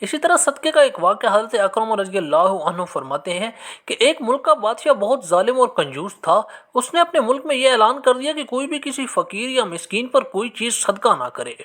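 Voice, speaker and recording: Expressive higher-pitched male storyteller. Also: brisk, few pauses. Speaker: narrator of moral story channel. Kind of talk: scripted religious storytelling narration.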